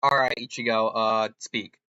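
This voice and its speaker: A man with a bright, forceful voice hosts a casual vlog. He talks in a halting, unscripted way, addressing a chatbot as he goes. The recording is dry and somewhat dull. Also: fairly low pitch, fairly even intonation, smooth, dry recording. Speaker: host of an online character-chatbot video.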